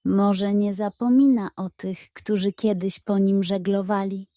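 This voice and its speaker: A woman voicing a calm, thoughtful animal pirate captain in a children's story. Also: mid-range voice, no echo, studio quality, close to an everyday voice. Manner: measured, thoughtful.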